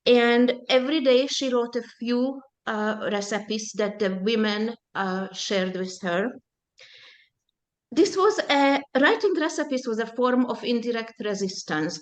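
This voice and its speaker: A woman in her seventies, Hungarian by first language, speaks at an unhurried pace. She recounts a personal testimony in a measured, deliberate way. Her voice sits at a middle pitch. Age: 70s. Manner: slow, deliberate storytelling. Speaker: presenter at a support group.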